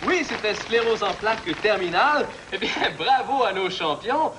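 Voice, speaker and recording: Very high-pitched, chipper game show host. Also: male voice, lively intonation, normal speed, background noise. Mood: chipper, ceremonious. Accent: Quebec French. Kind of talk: sketch comedy parody of a game show.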